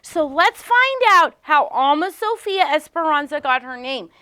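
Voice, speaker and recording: Female primary teacher for a read-aloud lesson. Very high, lively, bright voice, slow and emphatic, questioning young pupils. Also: dry recording. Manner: animated, simple questions with emphatic repetition for small children.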